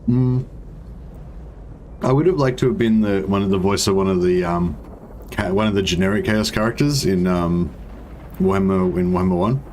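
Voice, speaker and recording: A man with a deep voice giving casual, rambling commentary while playing a strategy game. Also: normal speed, pauses often, a little hiss or hum, low-quality recording. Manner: rambling, relaxed, many fillers. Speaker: gaming streamer. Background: faint game music.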